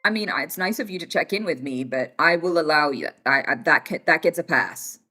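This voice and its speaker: Young British female streamer, casual and teasing, with a lively, swinging delivery. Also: deep voice, a little fast, soft background music. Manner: chatty, teasing, thanks viewers warmly by name. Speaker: livestream host. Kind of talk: casual chat with live viewers.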